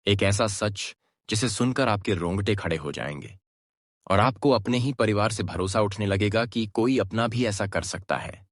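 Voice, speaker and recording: A man voices a wronged younger brother from a cartoon tale. His voice is low and pained, solemn as he addresses others, in a close, dry recording. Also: normal speed, no room sound, natural voice. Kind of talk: cartoon story voice acting.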